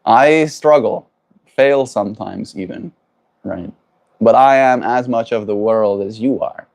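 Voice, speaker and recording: A man's voice: mid-range voice, measured pace, gravelly, a little mellow. A man from South Africa hosting a livestream, talking reflectively with his viewers and often tagging his points with questions. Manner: reflective, keeps adding tag questions. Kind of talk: casual livestream chat, answering viewers.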